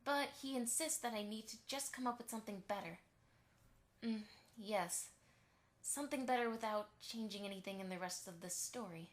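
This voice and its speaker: A woman's voice: medium pitch, normal speed, close to an everyday voice. A woman voicing a chatty, eager-to-please pony mother in a fan radio play, effusive and a little anxious. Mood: strained, sarcastic.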